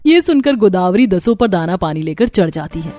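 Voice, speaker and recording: A woman's voice: medium pitch, animated, normal speed, warm tone, faint music behind. A woman reading scripted storytelling narration for a cartoon family tale.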